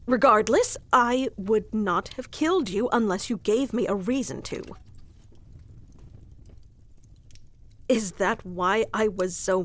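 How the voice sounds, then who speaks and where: A woman's voice: on the high side, full character voice. A woman playing a haughty, easily flustered young female elf guarding her forest in a scripted fantasy roleplay, with prickly, old-fashioned wording. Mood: indignant, defensive. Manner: prickly tsundere, archaic wording, stammers when flustered.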